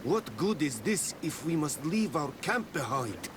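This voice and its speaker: Defiant tribesman warrior for a fantasy game: slightly high pitch, terse, solemn; noisy ambience behind. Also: sing-song, unhurried. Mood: wary, grudging. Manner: terse, solemn, formal diction. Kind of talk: video game cutscene acting. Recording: clear ambient noise behind.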